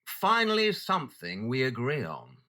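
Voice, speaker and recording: An actor playing an arrogant, brilliant Victorian detective who lectures condescendingly in an audio drama. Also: male voice, medium pitch, huge pitch swings, normal speed, sharp tone. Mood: dismissive. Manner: pompous, lecturing, cutting.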